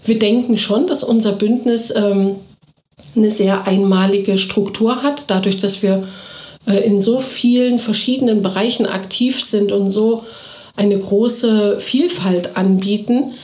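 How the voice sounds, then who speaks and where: A woman who runs a kindergarten, answering radio interview questions eagerly and fluently, often filling pauses with short hesitation sounds. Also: mid-range voice, fairly even intonation, a little mellow. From Thuringia, Germany. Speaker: kindergarten director. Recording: echoey room.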